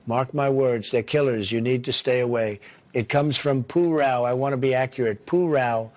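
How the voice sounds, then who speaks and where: A boastful cat demagogue, played by a man, makes pompous political speeches in a satirical audio story. He repeats himself like a stump orator, paranoid and utterly self-important, at a middling male pitch. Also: normal speed, natural voice. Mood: alarmist.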